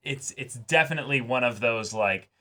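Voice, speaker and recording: A young man co-hosting a casual anime discussion, talking in a halting way with repeated words. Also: mid-range voice, pushed and bright. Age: young adult. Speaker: co-host. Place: small indoor room set up for filming.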